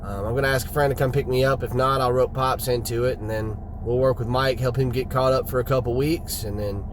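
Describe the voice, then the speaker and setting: Easygoing Southern man, mid-pitched. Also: noisy background. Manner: easygoing, rambling with small restarts. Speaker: traveling musician. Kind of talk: casual video diary.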